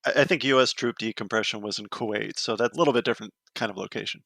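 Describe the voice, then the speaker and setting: Male podcast panelist, bright punchy tone, very dry sound, casual commentary. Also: lowish voice, rapid-fire, vocal fry, dry recording. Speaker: podcast panelist, military veteran.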